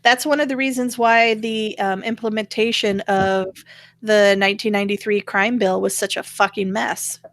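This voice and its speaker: A woman's voice: medium pitch, fairly even intonation, moderate pace, no room sound. A woman hosting a casual political chat, explaining her opinions as she goes. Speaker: show host.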